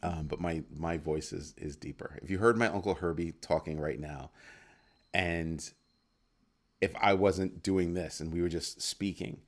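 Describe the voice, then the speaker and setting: A man's voice: fairly even intonation, a little slow. A man hosting a casual livestream, playfully reacting to comments from his viewers. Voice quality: deep voice. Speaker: livestream host.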